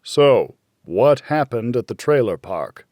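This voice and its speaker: A man's voice: medium pitch, big pitch swings, takes it slowly, darkish tone, dry recording. A man voicing a blunt, needling, worried older friend in a noir audiobook. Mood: curious.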